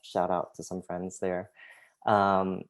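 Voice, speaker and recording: A man's voice: deep voice, level tone, slow, smooth. A man, a graphic designer and artist, presenting his work over a video call, with many hesitant fillers. His first language was Vietnamese. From United States. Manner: hesitant, many ums, soft-spoken. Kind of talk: presenting artwork in an online talk.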